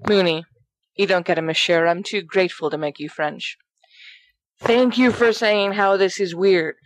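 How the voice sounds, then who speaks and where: A woman playing an excitable young man, reading his letter aloud in a rambling, sardonic, dramatic manner. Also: on the low side, normal speed, no room sound, close to an everyday voice, rough recording. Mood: unnerved, candid.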